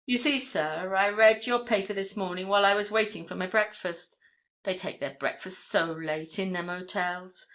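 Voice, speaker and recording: A woman's voice: medium pitch, fairly even intonation, normal speed, fairly bright tone, close to an everyday voice. A woman voicing a shy, genial middle-aged farmer with a rustic English accent and a rambling, deferential, folksy manner in a story reading. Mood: knowing, folksy.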